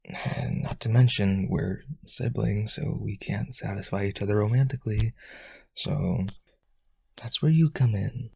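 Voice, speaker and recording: A man playing a lonely vampire aristocrat in a romantic audio roleplay, pleading earnestly and haltingly in a breathy, husky voice. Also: warm tone, no echo, full character voice. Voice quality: husky, breathy low voice, often near whisper.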